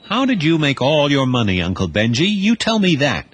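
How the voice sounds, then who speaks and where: A man's voice: a little high, wildly swooping pitch, normal speed, husky, no echo, voice pushed up, heavily acted. A man doing a brash defiant teenage boy.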